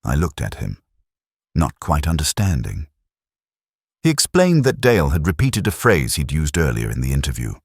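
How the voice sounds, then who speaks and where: A man narrating a scripted first-person detective story in a measured, literary, brooding manner. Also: very deep voice, huge pitch swings, husky, no echo, clean studio sound.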